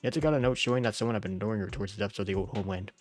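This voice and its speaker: A man with a fairly low voice gives a casual game playthrough while sick. He talks informally and jokingly as he reads story text aloud, with faint music underneath. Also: racing, a little mellow. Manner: informal, jokey, often chuckling. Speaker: game streamer.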